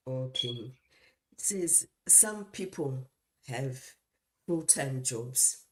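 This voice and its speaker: A middle-aged woman with a Zimbabwean accent co-hosting a live talk show, speaking deliberately in short phrases with pauses. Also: extremely low pitch, lively intonation, darkish tone, rough recording. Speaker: co-host and mentor.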